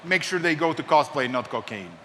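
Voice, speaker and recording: A man's voice: a little high, expressive, fairly quick, a bit bright. A young man from Serbia giving a joking, self-mocking talk as a convention panelist in a lecture hall. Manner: wry, self-mocking humor. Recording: reverberant hall with faint noise.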